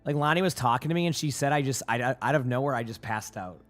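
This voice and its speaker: Man, streamer, hoarse voice, rambling casual chat, mid pitch, faint music behind. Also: fast talker, fairly bright tone. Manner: rambling, chatty, many restarts. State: hoarse, losing his voice.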